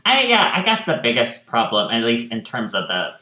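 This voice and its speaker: A man answering interview questions as a theater director, speaking casually in a thoughtful, halting way. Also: medium pitch, wildly swooping pitch, bright, forceful tone. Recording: roomy, echoey sound.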